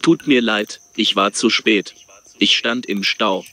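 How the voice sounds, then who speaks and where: A man reading his part in a scripted dialogue with measured, careful delivery. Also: lowish voice, very noisy.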